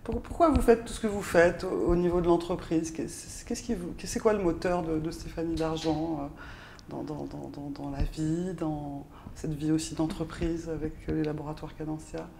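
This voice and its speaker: A woman's voice: deep voice, steady tone, creaky, warm tone, a little hiss or hum. A woman hosting an interview, asking long questions broken up by fillers and restarts. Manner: halting questions with many fillers. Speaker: interview host.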